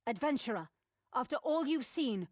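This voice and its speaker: A woman's voice: sits high, expressive, normal speed, a little mellow, dry recording, clean studio sound. A woman voicing a resolute, caring abbess in a video game, speaking with grave authority. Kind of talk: scripted video game voice acting.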